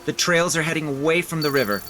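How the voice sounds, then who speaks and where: A man's voice: on the high side, animated, fast talker, loud music behind the voice, close to an everyday voice. Brash hunter with wildly swinging voice male actor. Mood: smug. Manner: blunt, swaggering, sardonic. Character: brash, cocky, hot-tempered male trophy hunter. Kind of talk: audio drama acting.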